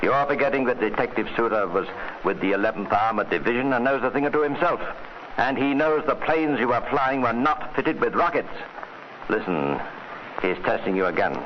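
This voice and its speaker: A man narrating a vintage radio drama in a suspenseful, deliberate, theatrical style. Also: medium pitch, expressive. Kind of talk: dramatic scripted narration, present tense. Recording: dull, noisy vintage radio recording.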